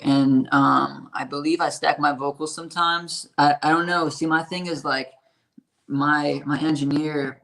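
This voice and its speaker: A man with a raspy, hoarse voice, talking about his music in a casual interview and rambling with lots of fillers and swearing. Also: mid-range voice, a bit bright, low-quality recording. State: hoarse from a strained voice. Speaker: independent musician.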